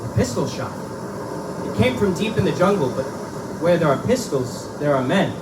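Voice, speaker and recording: A man's voice: fairly high pitch, very animated, moderate pace. A man playing a confident, scoffing big game hunter in a theatrical live reading of a pulp adventure drama. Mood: determined, searching. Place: live event in a bookstore. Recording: echoey live room, distant mic, loud hum.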